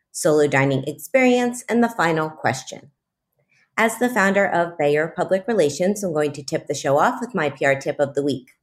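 A woman hosting an interview podcast, reading introductions and talking with her guest. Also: sits low. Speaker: podcast host.

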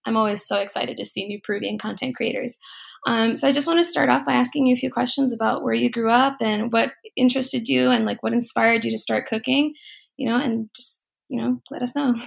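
Chatty woman co-host, higher-pitched, flat and creaky delivery. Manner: chatty, lots of likes and ums. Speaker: podcast co-host. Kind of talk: casual podcast interview.

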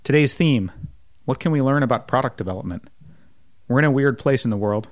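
A man hosting a podcast, reading a measured intro and then asking questions with some hesitation. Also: medium pitch, animated, no echo, clean studio sound. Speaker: podcast host. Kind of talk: scripted intro then casual interview.

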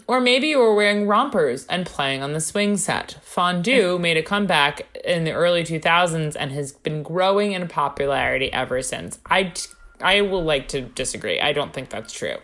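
A woman's voice: lowish voice, expressive, measured pace, fairly bright tone. A woman co-hosting a casual podcast, reading from her notes with wry, jokey asides. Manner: wry, jokey, playfully conspiratorial. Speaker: podcast co-host.

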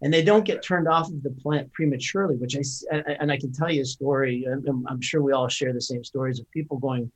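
A man's voice: medium pitch, steady tone, quick pace, hardly any pauses, poor audio. A middle-aged man from Canada with a Canadian accent, a podcast guest explaining his views at length in an earnest way with frequent restarts. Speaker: guest, cannabis company founder. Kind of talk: casual podcast conversation.

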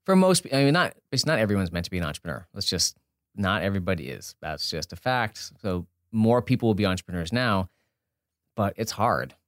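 A man's voice: on the low side, sing-song, brisk, a bit bright, no room sound, studio quality. A man being interviewed as a guest, an entrepreneur and author, talking informally and thinking aloud as he breaks off and rephrases. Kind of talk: casual conversational interview.

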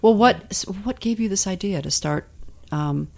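A woman's voice: mid-range voice, moderate pace, creaky, a little mellow. A woman hosting a podcast interview, asking questions in a conversational, somewhat hesitant way. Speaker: podcast host. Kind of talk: casual interview.

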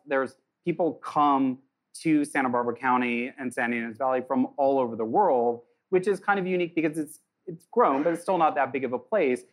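A man hosting a live panel, asking questions in a relaxed, meandering way. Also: medium pitch, rough recording. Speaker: host, moderator.

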